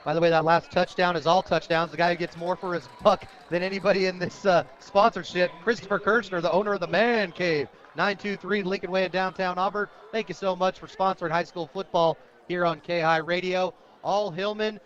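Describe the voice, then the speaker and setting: High-pitched American man calling football. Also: fairly even intonation, brisk, few pauses, fairly bright tone. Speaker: radio play-by-play announcer. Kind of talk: live American football play-by-play commentary. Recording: dull lo-fi radio broadcast audio.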